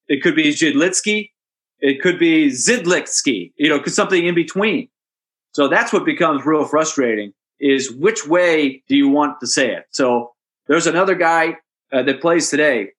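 A hockey play-by-play announcer answering interview questions in a relaxed, anecdotal way, chatty and amused. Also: male voice, on the high side. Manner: chatty storyteller, amused, with restarts and asides.

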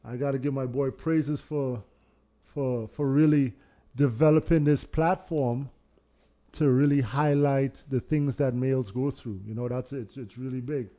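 A man's voice: mid-range voice, fairly even intonation, measured pace, warm tone, lo-fi sound. A man hosting a casual podcast, asking teasing, probing questions. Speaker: podcast host.

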